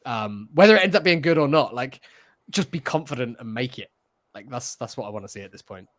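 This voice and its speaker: A man's voice: mid-range voice, animated, a little fast, a bit bright. Casual, chatty man co-hosting a podcast. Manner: informal, chatty fan talk. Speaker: podcast co-host. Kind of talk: casual chat.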